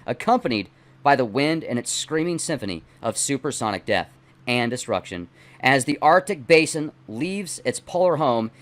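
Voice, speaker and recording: A middle-aged man, not a native English speaker, hosting and reading aloud in deliberate, emphatic phrases with casual asides. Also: mid-range voice. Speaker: host reading a book aloud and commenting. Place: small dim indoor home studio.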